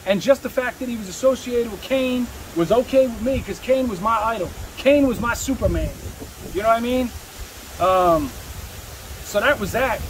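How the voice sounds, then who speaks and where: Very high-pitched man, slangy casual storyteller. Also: normal speed, a little hiss or hum, rough recording. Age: middle-aged. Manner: slangy, profane, repeats himself for emphasis. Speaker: rapper telling career stories.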